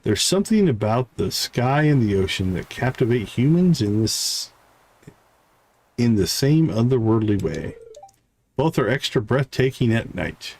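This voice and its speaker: A man's voice: mid-range voice, very animated, unhurried, darkish tone. A man livestreaming a game, reading character dialogue aloud with stumbles and short asides. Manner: reads on-screen text aloud with stumbles and asides. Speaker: game streamer.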